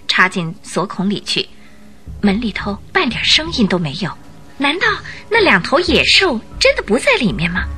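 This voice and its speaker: 一位女旁白以富有戏剧性的方式讲述广播剧故事。. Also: female voice, medium pitch, bright, forceful tone, breathy edge. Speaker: narrator. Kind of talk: dramatic scripted storytelling narration.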